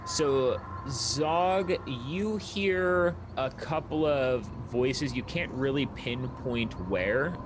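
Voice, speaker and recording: A man's voice: medium pitch, noisy background. A man narrating a tabletop roleplaying game as its game master, describing scenes and asking for checks.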